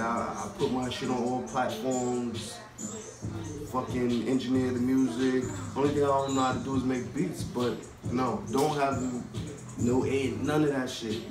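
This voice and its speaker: A man's voice: medium pitch, reverberant, distant mic, rough recording. A man from Queens with a New York accent, talking loosely in street slang as a rapper interview guest. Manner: laid-back street slang, loose and rambling. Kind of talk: casual interview.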